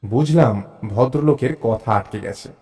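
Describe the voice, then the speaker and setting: A man narrating a suspense story in the first person, in a measured, theatrical way. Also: medium pitch, dark tone, faint music behind. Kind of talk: dramatised suspense story narration, acting out dialogue.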